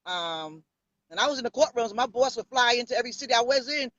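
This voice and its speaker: A woman's voice: fairly high pitch, animated, quick pace, a bit bright, dry recording, lo-fi sound. Bold, sassy New York woman in her fifties. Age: 50s. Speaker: pastor and interview guest. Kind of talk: casual interview.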